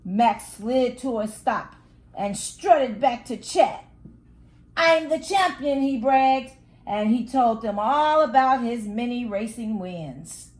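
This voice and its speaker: A woman storyteller reading a children's book aloud in a high, very slow voice. Her phrasing is dramatic and lively, and the sound is echoey and roomy. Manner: deliberate, dramatic phrasing with acted sound words. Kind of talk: expressive picture-book read-aloud.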